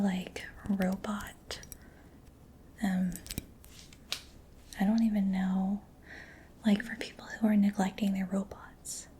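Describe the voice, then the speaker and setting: Female ASMR performer, soft whispery mechanic roleplay, dark mellow tone, close-miked with some noise behind. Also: mid-range voice. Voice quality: soft, breathy, mostly whispered. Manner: gentle, personal-attention murmuring. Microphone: close-up ASMR microphone.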